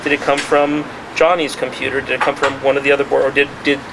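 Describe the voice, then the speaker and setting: A man's voice: mid-range voice, level tone, fast. A man, a journalist, speaking off the cuff at a press conference in a restaurant, restarting and repeating words as he makes his points. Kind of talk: spontaneous press-conference talk. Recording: clear background noise.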